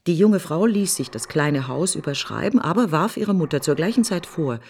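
A woman's voice: deep voice, expressive, fairly quick, a little mellow, slight background noise. An actress playing a middle-aged, bitter woman mourning her best friend in an intimate, brooding radio drama monologue. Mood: brooding recollection.

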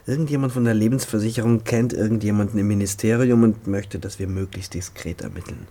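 An actor playing a cautious, evasive prosecutor of about forty, speaking intimately and hesitantly in a naturalistic radio drama. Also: male voice, on the low side, fairly even intonation, fairly quick, mellow. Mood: guarded, confiding. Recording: clearly audible background ambience noise.

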